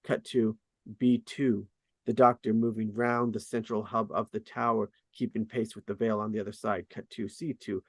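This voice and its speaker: A man's voice: lowish voice, flat delivery, moderate pace, no room sound. A man reading out scene directions as scripted narration at a drama table read. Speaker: reader of stage directions.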